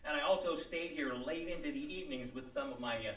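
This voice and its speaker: An actor playing a rambling, earnest local historian and reenactor, giving a hesitant mock interview in a comic radio play. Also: male voice, on the high side, fast, a bit bright, close to an everyday voice. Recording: echoey live stage, distant mic.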